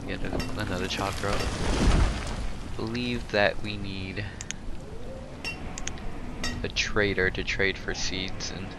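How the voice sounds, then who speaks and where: Man, congested, sniffly, deep voice, casual gameplay commentary, loud rain noise. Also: steady tone, takes it slowly, pauses often. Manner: thinks aloud, hesitant with restarts. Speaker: let's play host.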